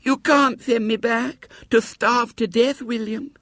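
A man voicing a small, shrill, spoilt schoolgirl who is lisping, stubborn and demanding, in a children's storybook reading. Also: steady tone, takes it slowly, fairly bright tone, no echo, studio quality, full character voice. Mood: pleading, threatening.

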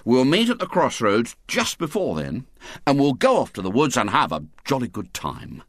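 A man's voice: medium pitch, wildly swooping pitch, moderate pace, no echo, studio quality. A man voicing a defiant, scheming schoolboy ringleader who talks bluntly and bossily. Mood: eager. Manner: bossy, blunt.

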